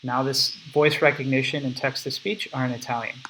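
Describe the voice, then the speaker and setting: A man's voice: mid-range voice, steady tone, brisk, very noisy. A young man casually explaining his app step by step in a recorded screen walkthrough at his computer. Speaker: app developer demonstrating his product.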